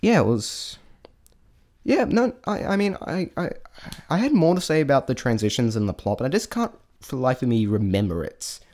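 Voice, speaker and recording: A man's voice: medium pitch, big pitch swings, normal speed, darkish tone. A man giving an unscripted spoken review in a rambling, hesitant way, often stammering and breaking off mid-thought. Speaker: amateur reviewer sharing opinions.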